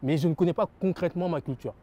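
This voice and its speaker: A man's voice: on the high side, quick pace, mellow. A young French man giving earnest interview answers about his rap label, repeating phrases and using many fillers. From France.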